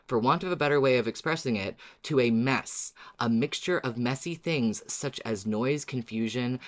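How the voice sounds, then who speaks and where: A woman co-hosting a podcast, eagerly explaining her research in casual discussion. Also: extremely low pitch. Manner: enthusiastic, explains research at length. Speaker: podcast co-host.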